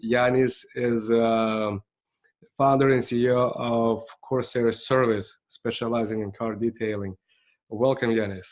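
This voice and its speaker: A man hosting an interview podcast. He welcomes the guest and asks brief, upbeat questions. His voice sits at a middle pitch. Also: steady tone, measured pace. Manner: upbeat, short prompting questions. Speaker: podcast host.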